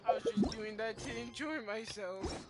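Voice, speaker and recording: A woman's voice: a little high, expressive, normal speed. A woman giving casual, joking playthrough commentary as a game streamer, rambling and slangy. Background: game music.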